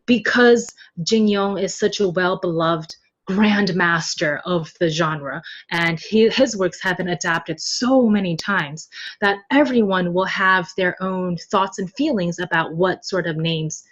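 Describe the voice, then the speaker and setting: A woman's voice: lowish voice, a little slow. Chatty woman in slightly dull audio. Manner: chatty, laughs readily while talking. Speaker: podcast guest, web novel translator. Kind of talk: casual interview conversation.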